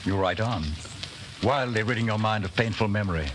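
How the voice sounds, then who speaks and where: An actor playing a grave, intense narrator of a dystopian tale in a 1940s radio drama, telling it with suspense. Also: male voice, lowish voice, a little fast, natural voice. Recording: slightly noisy 1940s radio recording.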